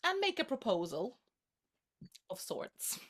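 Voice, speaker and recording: Actress as tender, teasing young woman, unhurried. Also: on the high side, big pitch swings, husky, exaggerated voice. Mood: romantic, hopeful. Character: playful, tender young woman shyly courting her friend. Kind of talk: two-hander stage play reading.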